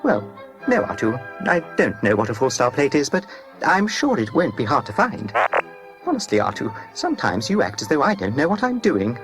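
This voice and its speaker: A prim, anxious golden robot butler, eager to help and formal in every phrase. The pitch swings in a lively way over a clear music bed, as in a children's audio storybook. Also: male voice, normal speed, poor audio. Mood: huffy. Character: fussy, anxious golden male protocol droid, eager to help. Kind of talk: children's read-along audio story acting.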